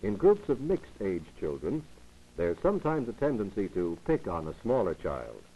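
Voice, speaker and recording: A man reading scripted narration for a 1940s instructional film in a didactic, formal, measured manner. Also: lowish voice, wildly swooping pitch, warm tone, breathy edge. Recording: muffled, lo-fi 1940s 16mm film soundtrack.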